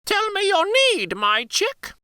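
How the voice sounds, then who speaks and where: A man's voice: very high-pitched, wildly swooping pitch, slow, bright, forceful tone, no room sound, clean studio sound, voice pushed up, heavily acted. A man voicing a grand, motherly female firebird from a fairytale, magical and kindly imperious, with a lofty delivery. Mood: tender, grand.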